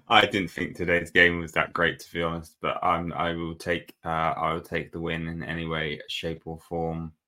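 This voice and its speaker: Low-voiced man, rambling casually. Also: measured pace. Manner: relaxed, rambling with fillers and restarts. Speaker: podcast co-host. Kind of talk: casual football chat.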